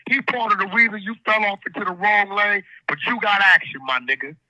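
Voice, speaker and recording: High, bright, combative man. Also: brisk, dry recording, muffled recording. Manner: aggressive, profane, repeats questions. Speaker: livestream host. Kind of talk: heated argument.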